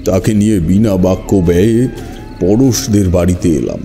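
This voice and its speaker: A man's voice: sits low, moderate pace, dark tone, faint music behind. A man narrating a horror story dramatically in the first person.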